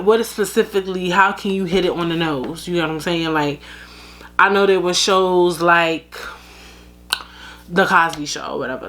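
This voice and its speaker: A woman's voice: lowish voice, fairly bright tone. Chatty young woman, frank podcast talk. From United States. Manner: chatty, frank, full of fillers and asides to listeners. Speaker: podcast host. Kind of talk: casual solo commentary.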